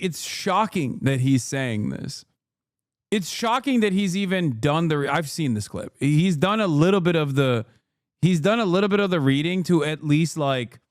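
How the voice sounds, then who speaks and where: Animated streamer laughing through reactions. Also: male voice, no room sound, clean studio sound. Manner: jokey, laughs often, swings from low mutters to loud high outbursts. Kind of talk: casual reaction commentary.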